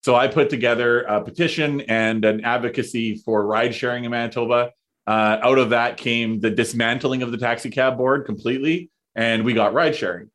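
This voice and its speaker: A man in his thirties talks through ideas in casual conversation. His voice sits at an ordinary pitch. He hesitates often, restarting phrases and dropping in fillers as he thinks aloud. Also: fairly even intonation, fairly bright tone. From Canada. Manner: halting, many fillers and restarts. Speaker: podcast guest, entrepreneur.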